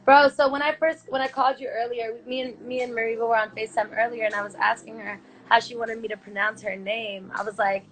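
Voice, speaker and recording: Slangy, excitable woman host. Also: on the high side, slight background noise, poor audio. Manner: slangy and excitable, quick laughs. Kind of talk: casual chat on a livestream.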